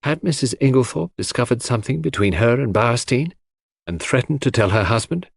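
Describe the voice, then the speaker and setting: A man's voice: medium pitch, animated, brisk, gravelly, husky, dry recording, clean studio sound. A man reading a first-person mystery audiobook as a scripted narrator.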